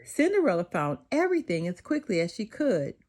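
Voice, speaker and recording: An older woman reading a children's storybook aloud, expressive and sing-song, with occasional small slips. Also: medium pitch, brisk. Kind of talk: children's read-aloud narration.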